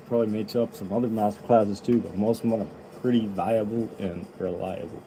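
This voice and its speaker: Man rambling flatly over noise. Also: fairly low pitch, measured pace, low-quality recording. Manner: rambling, loose, offhand. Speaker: rapper. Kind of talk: casual rambling chat to viewers.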